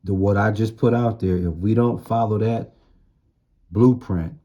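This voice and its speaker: A man's voice: lowish voice, measured pace, dark tone, dull audio. A man giving an informal sermon in blunt, streetwise slang, repeating phrases for emphasis. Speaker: street-style preacher.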